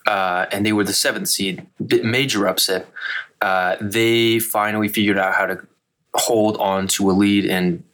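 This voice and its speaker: A man's voice: fairly low pitch, steady tone, unhurried, a bit bright. A young man hosting a casual sports podcast, talking loosely with restarts and fillers. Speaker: host of a college sports podcast.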